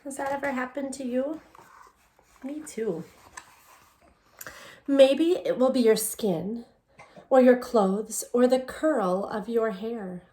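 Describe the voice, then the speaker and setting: A woman teacher reading a picture book aloud to young children, expressively and deliberately, with conversational asides and questions to her listeners. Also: on the high side, warm tone. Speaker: elementary English language development teacher.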